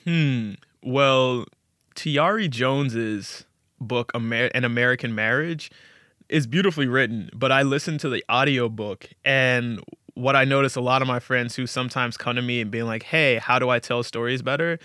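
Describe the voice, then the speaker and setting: A man's voice: medium pitch, moderate pace, pushed and bright, smooth, no room sound, studio quality. A man speaking as a guest storyteller in a casual interview, candid and informal, with a self-deprecating way of talking.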